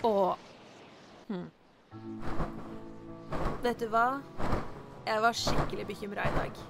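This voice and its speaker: A woman's voice: medium pitch, fairly even intonation, gravelly, music in the background, natural voice. A woman playing a down-to-earth, dryly kind office worker in an anime fan dub. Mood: sincere.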